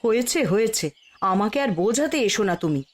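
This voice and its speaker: A woman's voice: on the high side, lively intonation, brisk. A woman playing a scolding, principled wife in a moral-tale cartoon, with a firm, admonishing manner.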